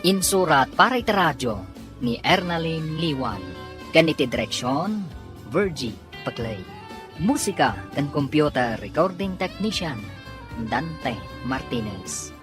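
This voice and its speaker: Low-voiced woman announcer over music. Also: expressive, measured pace. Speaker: radio drama announcer. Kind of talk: scripted radio drama narration.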